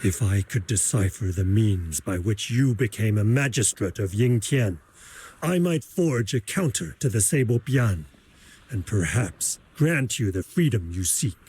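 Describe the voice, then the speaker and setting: Suave, slow-talking villain. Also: male voice, lowish voice, lively intonation, mellow, husky, exaggerated voice. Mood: mocking, persuasive. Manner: deliberate, formal, theatrical, taunting. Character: suave, scheming male villain, mocking and theatrical. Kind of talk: scripted video game voice acting.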